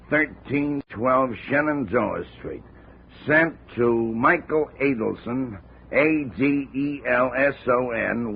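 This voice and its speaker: A man's voice: mid-range voice, vocal fry. An American man playing a friendly, helpful informant in a 1950s radio drama, talking in a folksy, conversational way. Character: friendly, helpful male cargo claims informant. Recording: tinny, noisy old radio recording.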